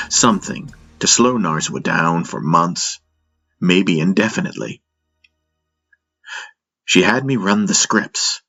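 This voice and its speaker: Male narrator, dramatic scripted storytelling, low-pitched, unhurried, forceful, music bed. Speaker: audiobook narrator.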